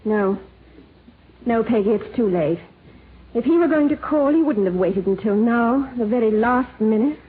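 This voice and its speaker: An actress playing a poised, lovelorn but resolute society wife in a 1950s radio drama, speaking in an earnest, genteel way. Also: female voice, fairly high pitch, animated, normal speed, slight background noise, close to an everyday voice. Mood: resigned. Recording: tinny 1950s radio recording.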